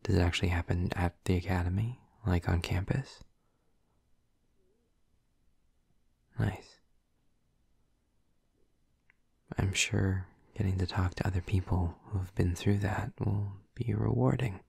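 Low, breathy, gentle vampire boyfriend, acted by a man. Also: steady tone, moderate pace, few pauses, dry recording, heavily acted. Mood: gentle interest. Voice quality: breathy, husky, often near a whisper. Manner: gentle, hesitant, softly attentive. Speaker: audio roleplay performer. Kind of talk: intimate audio roleplay, ASMR-like.

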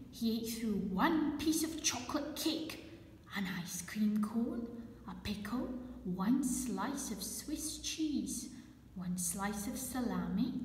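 Slow, lilting woman storyteller in echoey room. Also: fairly high pitch, slight background noise. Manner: expressive, slow, deliberate phrasing with questions to young listeners. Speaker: children's storyteller. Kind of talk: read-aloud picture-book story for young children.

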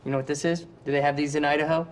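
A man's voice: a little high, flat delivery, noisy background, natural voice. A man in his thirties with an American accent playing a smug, sarcastic computer hacker in a screen thriller. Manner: smug, swearing, drawn-out emphasis. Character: cocky, sarcastic male computer hacker bossing his assistant. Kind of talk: live-action thriller screen acting.

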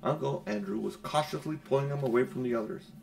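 A man's voice: medium pitch, fast talker, gravelly, darkish tone. A middle-aged man reading a children's storybook aloud as an audiobook narrator. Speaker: audiobook reader. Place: small furnished room at home, indoors.

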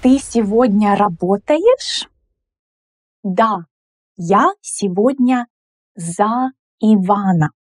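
A woman, teaching grammar at a whiteboard with slow, clear, patient explanations. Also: mid-range voice, expressive, no echo, clean studio sound. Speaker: language teacher. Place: indoor room in front of a whiteboard.

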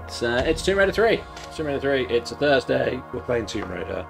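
A man's voice: mid-range voice, big pitch swings, fairly quick, creaky, music in the background. Man, bunged up, losing voice, chatty game host, desk mic. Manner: rambling, chatty, jokey asides. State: congested, losing his voice. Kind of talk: casual live-stream chat while playing.

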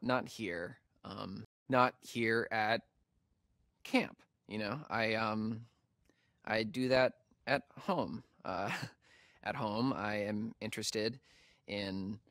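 Awkward young American camp counselor, lowish pitch, halting, self-conscious, nerdy, easygoing, naturalistic game dialogue, dry studio sound. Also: male voice, a bit bright, no room sound. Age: young adult. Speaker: video game voice actor.

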